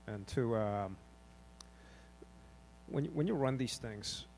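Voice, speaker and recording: A deep-voiced man with a gravelly edge asks his question from the audience, halting and filling gaps with ums. He sounds distant from the mic, over a faint hum. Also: expressive, a little mellow. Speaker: audience member asking a question.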